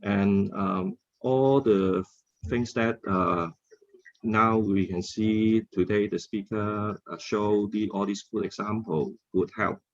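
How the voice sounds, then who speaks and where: A man with a Hong Kong accent speaking off the cuff as a panelist, halting often and repeating words. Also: on the low side, steady tone, muffled recording. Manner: halting, frequent hesitations and word repeats. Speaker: symposium panelist. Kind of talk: unscripted panel discussion.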